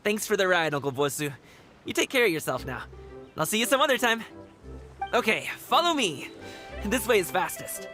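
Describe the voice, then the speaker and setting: A young, easygoing guide from a video game. The delivery is breezy and talkative, with very lively intonation and folksy, casual phrasing. Music plays faintly behind the voice. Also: female voice, mid-range voice, normal speed, long pauses. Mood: cheerful, brisk. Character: chatty, easygoing young local guide, awkward around family. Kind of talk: video game character dialogue.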